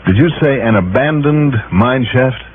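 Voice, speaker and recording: A man's voice: medium pitch, animated, normal speed, a little hiss or hum, natural voice. A man acting a tough, shrewd American insurance investigator in a vintage radio crime drama, clipped and inquisitive. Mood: concerned. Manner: clipped, inquisitive, matter-of-fact. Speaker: lead actor and first-person narrator. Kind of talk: 1950s-60s American radio detective acting. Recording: tinny, band-limited old radio recording with music.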